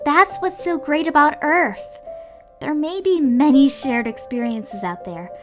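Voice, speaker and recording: A woman plays a dreamy, hopeful young girl with a high voice. She is gentle, earnest and a little wistful, in cartoon comic-dub style. Music is clearly heard underneath. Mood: tender, reassuring. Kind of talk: scripted comic dub voice acting.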